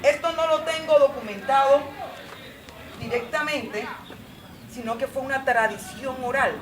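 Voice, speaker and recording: A woman's voice: sits high, unhurried. Woman panelist, emphatic storyteller, roomy sound with noise behind. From Colombia. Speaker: panelist at a community forum. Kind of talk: impassioned personal talk. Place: outdoor patio. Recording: roomy, distant and noisy.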